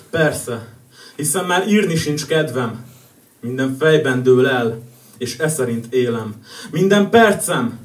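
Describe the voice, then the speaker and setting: A man performing rhyming slam poetry in an emphatic way, his volume moving between muted and loud. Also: medium pitch. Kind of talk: spoken-word slam poetry recital. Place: live poetry event, away from mic. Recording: echoey live event, distant mic.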